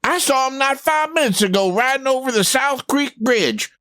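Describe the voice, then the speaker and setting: A woman's voice: high-pitched, lively intonation, normal speed, a bit bright, dry recording, studio quality. A woman playing a nervous, stammering male small-town banker in a comedy audio drama, flustered and jittery. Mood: anxious.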